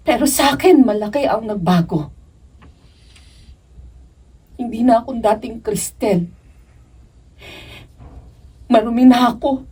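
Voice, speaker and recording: A woman's voice: medium pitch, dark tone, breathy edge, distant mic. An actress playing a heartbroken young woman in a melodramatic Filipino radio drama, pushing her lover away emphatically. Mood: bitter despair.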